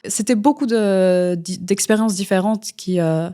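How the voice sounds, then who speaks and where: A woman's voice: medium pitch, a little slow, mellow, smooth. A woman, a guest musician talking in a casual interview, rambling with many fillers and restarts. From Belgium.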